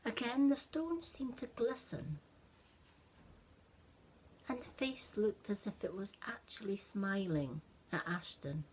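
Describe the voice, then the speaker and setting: A woman narrating a children's story expressively, with hushed wonder and dramatic pauses. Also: medium pitch, lively intonation, a little hiss or hum, rough recording.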